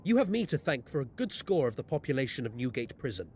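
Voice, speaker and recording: An actor playing a self-assured, shrewd Victorian detective in an audio drama, articulate, commanding and probing. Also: male voice, medium pitch, very animated, fast talker, a little hiss or hum. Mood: probing, confident.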